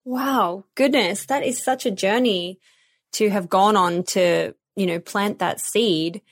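A woman's voice: medium pitch, slow pace, dry recording, studio quality. A woman hosting an interview, speaking in a conversational, affirming way. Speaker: podcast host.

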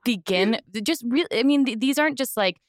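A woman's voice: a little high, animated, brisk, no room sound, studio quality. A woman hosting a podcast, asking long, rambling questions in casual conversation. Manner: warm, rambling questions with fillers and restarts. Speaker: podcast host. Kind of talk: casual interview chat.

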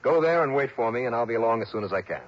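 An actor playing a confident, wry insurance investigator in an old radio drama, crisp and conversational. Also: male voice, mid-range voice, animated, very fast, dull audio, very noisy, close to an everyday voice. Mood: friendly, amused. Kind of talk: old radio drama acting, first-person narration. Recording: band-limited old radio recording, music.

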